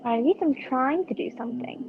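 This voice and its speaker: A young woman acting a sharp-tongued, indignant captive companion in an amateur radio play. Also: high voice, a little fast, gravelly, noisy background. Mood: irritated. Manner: tart, sarcastic. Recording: dull lo-fi video call audio. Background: faint music.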